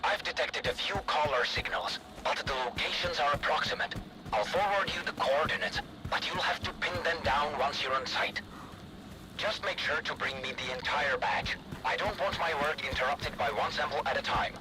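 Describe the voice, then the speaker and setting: An actor playing an eager, self-assured scientist in a video game, speaking in an articulate, insistent way. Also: male voice, on the high side, moderate pace, music in the background, lightly acted, poor audio. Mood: smug, businesslike.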